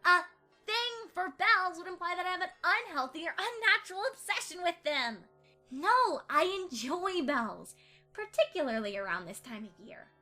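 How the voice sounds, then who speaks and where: A woman's voice: very high-pitched, expressive, moderate pace, bright, forceful tone, soft background music, full character voice. A woman playing an earnest, bookish young female pony who explains things precisely, in an amateur audio drama. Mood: defensive.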